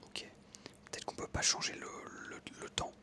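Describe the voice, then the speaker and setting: Young ASMR gaming narrator, close-miked breathy whisper, very sibilant and sharp, casual enthusiastic chat. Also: moderate pace. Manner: informal, enthusiastic gamer talk. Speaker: ASMR creator narrating own gameplay. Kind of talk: ASMR whispered casual storytelling over gameplay.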